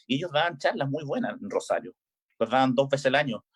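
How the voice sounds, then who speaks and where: A man's voice: mid-range voice, expressive, fairly quick, slightly rough. A middle-aged Chilean man, a volleyball coach, talking casually in an interview with frequent hesitations and restarts. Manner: hesitant, many filler "eh"s and restarts. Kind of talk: relaxed interview chat.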